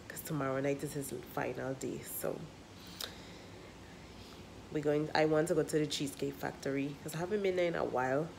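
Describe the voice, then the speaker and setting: Woman, 30s, low register, congested with a cold; chatty, filler-heavy vlogger talking to a phone at arm's length. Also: creaky, a little mellow, slight background noise, low-quality recording. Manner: chatty, rambling, with many fillers. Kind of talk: casual vlog chat to camera. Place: indoors at home, then in a parked car. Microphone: phone camera at arm's length.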